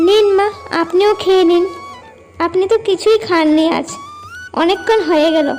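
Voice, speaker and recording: Woman playing very high-pitched caring cartoon housewife. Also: normal speed, a little mellow. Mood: reassuring. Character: gentle, caring, uncomplaining young village housewife. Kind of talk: cartoon dialogue acting. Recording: music bed behind voice.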